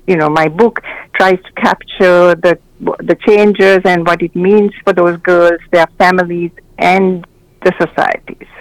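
Gravelly older Sri woman. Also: lowish voice, no echo, rough recording. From Sri Lanka. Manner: measured, explanatory, with small restarts. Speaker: guest, memoir author and mental health counselor. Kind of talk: reflective interview storytelling.

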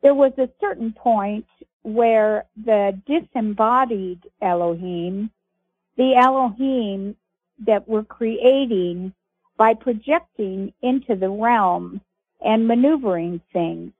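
A woman reading a transcript aloud in a measured, deliberate way over a telephone. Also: mid-range voice, breathy edge, no echo. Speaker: reader of archived messages on a conference call. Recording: muffled phone-line audio.

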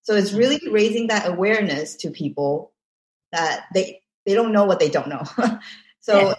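Woman, from Taiwan, interview guest, reflective, repeats phrases, soft laughs, roomy echo. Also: mid-range voice, normal speed. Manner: reflective, laughs softly between thoughts, repeats phrases for emphasis. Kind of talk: casual interview conversation.

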